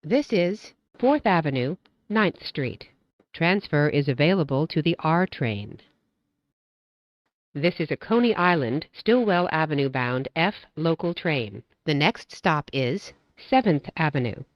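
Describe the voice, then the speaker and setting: Low-voiced woman making train announcements. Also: expressive, no room sound, lo-fi sound. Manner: measured, formulaic delivery. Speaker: transit announcer. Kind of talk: scripted recorded train announcements.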